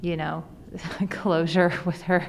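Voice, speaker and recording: A woman's voice: fairly low pitch, level tone, takes it slowly, vocal fry. An American woman being interviewed as an author, talking casually indoors. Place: indoors at a school. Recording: long reverb of a school room.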